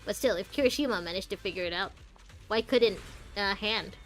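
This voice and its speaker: A woman's voice: mid-range voice, brisk, a bit bright, faint music behind. A woman streaming a game, chatting casually and thinking aloud in a rambling way. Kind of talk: casual chatty playthrough commentary.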